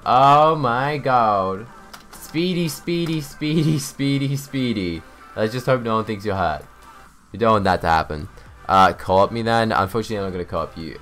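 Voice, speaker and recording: Young man, lowish pitch, casual game streamer, chatty, thinking aloud, reading chat, music in the background. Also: a bit bright. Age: young adult. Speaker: livestreamer. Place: indoors, small home room.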